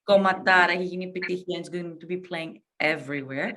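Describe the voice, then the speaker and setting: A woman's voice: on the low side, quick pace, a bit bright. A woman hosting a radio interview, effusive and gushing, mixing Greek and English. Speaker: radio show host.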